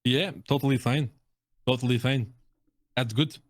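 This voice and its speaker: A man's voice: medium pitch, fairly even intonation, moderate pace, dry recording, clean studio sound. A man chatting in a casual, slangy, joking way as he reviews game voice lines. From Russia or Russian-speaking country. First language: Russian. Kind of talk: casual joking reaction chat.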